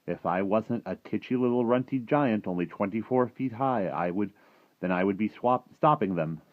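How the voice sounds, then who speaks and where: A man voicing a huge, gentle, gloomy giant who talks in muddled grammar and invented words for a children's storybook. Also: lowish voice, normal speed, no echo, narrow, tinny audio, voice pushed up, close to an everyday voice. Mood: sad, resigned. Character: huge, gentle, gloomy friendly giant with garbled rustic speech. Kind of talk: animated children's storybook character reading.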